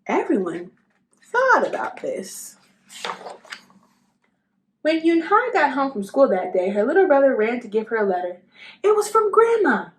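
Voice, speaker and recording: A woman reading a children's picture book aloud as an expressive narrator. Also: high voice, measured pace, long pauses, rough recording.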